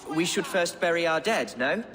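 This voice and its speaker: A man's voice: fairly high pitch, animated, moderate pace, fairly bright tone. A man playing an earnest, unsure young Anglo-Saxon nobleman in a video game, somewhat hesitant in manner. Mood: uncertain, resolute. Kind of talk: video game cutscene dialogue. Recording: clear outdoor ambient noise behind.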